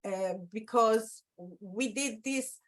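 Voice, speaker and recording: Israeli woman, PR professor, slow, hesitant, video call. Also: fairly high pitch. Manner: slow and hesitant, with many fillers. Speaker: public relations professor. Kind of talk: self-introduction over video call.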